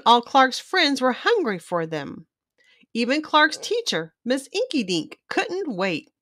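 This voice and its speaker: Animated, high-pitched woman reading to children. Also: no echo, studio quality. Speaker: children's book reader and host. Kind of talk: expressive scripted read-aloud for young children.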